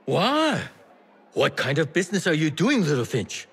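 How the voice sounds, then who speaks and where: An actor playing a wily, affectionate old tea merchant in a video game, with a theatrical, teasing, exclamatory manner. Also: male voice, a little high, huge pitch swings, moderate pace, fairly bright tone, slightly rough, heavily acted. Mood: shrewd, familiar. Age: older. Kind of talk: video game character acting.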